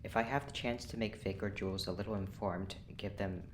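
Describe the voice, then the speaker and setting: A low-pitched, formal scientist in an audio drama, played by an actor, principled and uneasy, used to being in charge. The speech is terse and guarded, over clearly audible noise and ambience. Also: fairly even intonation, a little fast, lightly acted. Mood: guarded, firm. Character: principled, uneasy prison research scientist in authority. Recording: noisy, with ambient sound behind.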